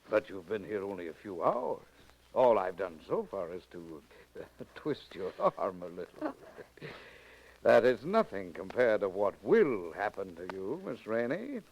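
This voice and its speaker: An actor playing an impatient, mocking kidnapper and interrogator in 1940s radio drama style, with theatrical, threatening, formal phrasing. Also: male voice, fairly low pitch, sing-song, slow pace, vocal fry, pauses often, darkish tone, breathy edge, heavily acted, low-quality recording. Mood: gloating threat.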